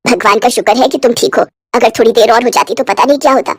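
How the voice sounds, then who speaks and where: A woman's voice: fairly high pitch, fairly even intonation, fast talker, no echo, clean studio sound, voice pushed down, natural voice. A woman voicing a middle-aged Indian mother-in-law in a moral story, bossy and melodramatic, with sarcastic scolding. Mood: relieved. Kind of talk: acted dialogue in a moral story. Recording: music behind the voice.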